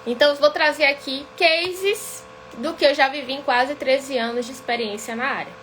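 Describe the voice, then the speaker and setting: A high-pitched woman with a Bahian accent teaches a live online class. She speaks in a didactic way and keeps checking in with her viewers. A faint hum runs under her voice. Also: normal speed, a bit bright. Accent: Bahian Brazilian Portuguese. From Salvador, Bahia, Brazil. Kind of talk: live-streamed lesson.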